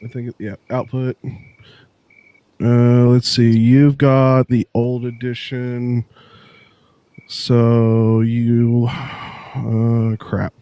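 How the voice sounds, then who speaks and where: A man's voice: medium pitch, little pitch movement, extremely slow, long pauses, dark tone, clear, resonant tone, no echo, muffled recording. A man in casual chat, explaining streaming settings with many restarts. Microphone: desk mic through a mixer.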